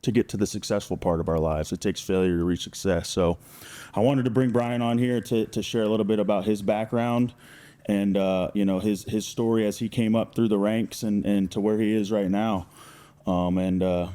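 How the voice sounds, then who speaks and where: A man with a lowish, very mellow voice hosts a podcast. He speaks casually, often halting and repeating words as he introduces and questions his guest. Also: steady tone. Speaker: podcast host.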